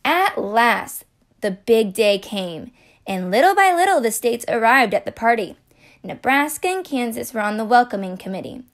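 A woman reading a children's picture book aloud as storyteller, in a playful, expressive, deliberate way. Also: on the high side. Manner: playful, deliberate, acting out asides.

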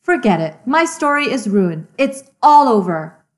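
A woman voicing an excitable, easily exasperated little boy from a picture book, in a dramatic, exclamatory manner. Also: on the high side, a little slow. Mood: defeated, glum.

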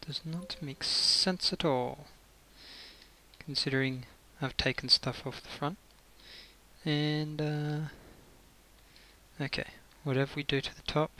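A man's voice: on the high side, bright, forceful tone, rough recording. A man giving casual gameplay commentary as he builds, muttering to himself and thinking aloud. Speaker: gaming video creator. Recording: faint hiss and clicking.

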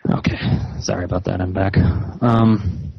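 A man speaking as a caller on a phone, in a measured, hesitant but insistent way, with slightly muffled sound. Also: low-pitched, fairly even intonation, gravelly, breathy edge, dry recording. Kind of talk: slow, halting phone conversation. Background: phone call recording.

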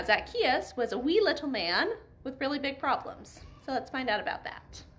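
A woman with a high voice leads a children's storytime. She reads aloud, explains things simply and puts questions to her young listeners. There is faint noise in the room. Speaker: Bible story reader for children. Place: living room by a fireplace.

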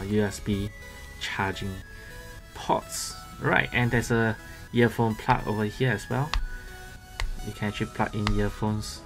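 A man gives casual, halting unboxing commentary as a gadget reviewer. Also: on the low side. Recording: music playing behind the voice.

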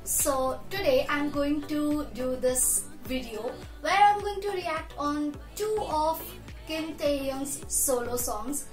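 A young woman from India with an Indian English accent, chatting casually to camera as a reaction vlogger, self-deprecating and full of "so yes" fillers. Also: sits high. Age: young adult. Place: small room at home, indoors. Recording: roomy home room echo, faint music.

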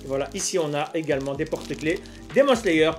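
High-pitched young man, casual vlogger. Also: animated, quick pace. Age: young adult. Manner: informal, slangy. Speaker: YouTube host unboxing souvenirs. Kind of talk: casual unboxing vlog. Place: small dim room at home, indoors. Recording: music playing under the voice. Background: music.

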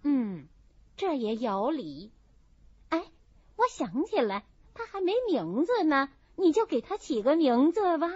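A woman's voice: high-pitched, sing-song, measured pace, darkish tone, no echo. A woman voicing a shrewd, gracious lady of a wealthy household in an audiobook, chatty and in command. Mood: chatty, frank. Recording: dull, muffled audio.

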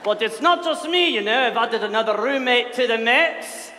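A man playing a big, shaggy, gruff but affectionate groundskeeper in a comedic staged reading, loud and folksy. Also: very high-pitched, lively intonation, normal speed, heavily acted. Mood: hearty, boisterous. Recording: echoey hall, live audience, faint noise.

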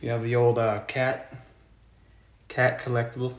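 A man narrating his walk through abandoned buildings and old vehicles, excited and talking straight to viewers, filmed on a handheld phone. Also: mid-range voice, measured pace. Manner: excited, keeps addressing viewers as "guys". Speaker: urban explorer vlogger. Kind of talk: walkthrough narration while exploring.

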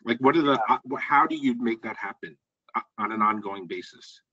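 A man's voice: medium pitch, fairly quick, sharp tone, slightly rough. Heard over dull, lo-fi call audio, a man with a mid-range voice puts a long question to the guest. He hesitates often and starts his phrases over. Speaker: caller asking a question.

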